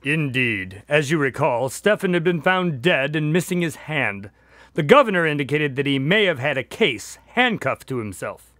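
A man's voice: on the high side, very animated, moderate pace, fairly bright tone, no room sound, studio quality. A man playing a genial, polite doctor and storytelling sidekick in an audio drama. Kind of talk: audio drama dialogue and narration.

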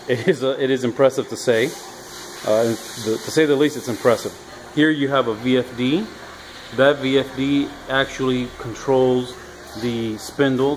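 A middle-aged man casually explaining a machine hands-on in short, halting phrases, recorded on a handheld camera in a workshop. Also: mid-range voice, low-quality recording. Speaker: workshop machine builder and video host. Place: large indoor metal workshop. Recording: clear shop noise on handheld camera audio.

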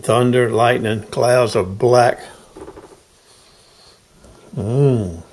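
A man's voice: mid-range voice, steady tone, extremely slow, darkish tone. A middle-aged man, chatting folksily in a casual video diary from his car and home, recorded on a handheld camera. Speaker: knife sharpener vlogger.

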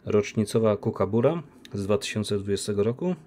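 A man unboxing silver coins, talking casually on a phone recording with a relaxed, chatty manner. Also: lowish voice, brisk, slight background noise. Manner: relaxed, chatty, with many diminutives and fillers. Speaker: coin collector unboxing silver. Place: indoor room by a window. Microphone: phone camera.

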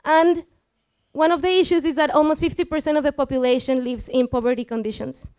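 A woman's voice: sits high, fairly even intonation, brisk, darkish tone. A woman in her twenties with a Mexican accent gives an explanatory science talk to an audience, informally engaging them with questions. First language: Spanish. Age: 20s. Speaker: student speaker giving a public science talk. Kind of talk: live presentation to an audience, explanatory. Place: small lecture room with seated audience. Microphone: headset.

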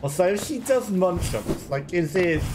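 A man's voice: sits high, lively intonation, normal speed. A man, giving casual, playful gameplay commentary as he muses aloud. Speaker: let's play host. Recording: clearly noisy game stream with clicks.

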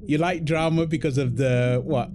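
A man performs a doting husband in an intimate audio roleplay. He teases affectionately and exclaims often, his pitch swooping up and down at an unhurried pace. There is clearly audible ambient noise behind the voice. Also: sing-song. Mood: teasing. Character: playful, doting husband apologizing to his pregnant wife.

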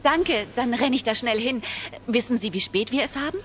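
An actress playing a flustered, hurried young secretary in a German audio drama, speaking politely. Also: female voice, on the high side, lightly acted. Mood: hurried, friendly.

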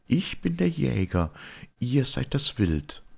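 Man playing an impetuous boy, pitched up, animated. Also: slow, gravelly, slightly rough, full character voice. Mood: reckless, eager. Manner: excited, declaiming verse. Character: wild, impetuous young spirit boy, exultant and headstrong.

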